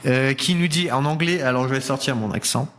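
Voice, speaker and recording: A man reads out audience questions during a webinar. He speaks in a relaxed, somewhat hesitant way, at an ordinary mid pitch. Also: brisk. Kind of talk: casual webinar talk.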